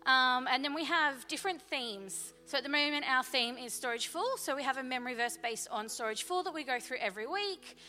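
A woman's voice: sits high, brisk, hardly any pauses, sharp tone, slight background noise. A woman children's team leader, explaining the kids' program in a friendly, slightly nervous way. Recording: reverberant church hall with music behind.

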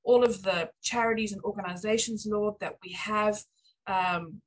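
A woman of forty-nine shares testimony and prayer with her church. Her voice is husky and mid-pitched, and she talks in a heartfelt, conversational, encouraging way. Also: fairly even intonation, normal speed, low-quality recording. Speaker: church member giving testimony and offering prayer.

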